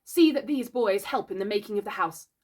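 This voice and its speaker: A woman's voice: medium pitch, expressive, brisk, darkish tone, lightly acted. A woman voicing a young boy leader in a storybook, stern and cocksure, with imperious, captainy delivery. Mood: commanding. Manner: captainy, imperious, clipped orders. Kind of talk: storybook character voice.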